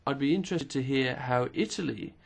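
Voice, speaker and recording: A man simultaneously interpreting speeches at a parliamentary committee hearing, formal and measured, pausing with occasional fillers. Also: mid-range voice, gravelly, slightly rough.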